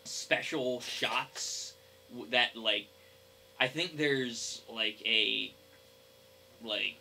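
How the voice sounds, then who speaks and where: Young man, bright mid-range voice, halting casual podcast talk, light background noise. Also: mid-range voice, creaky, low-quality recording. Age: young adult. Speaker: podcast co-host. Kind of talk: casual gaming discussion.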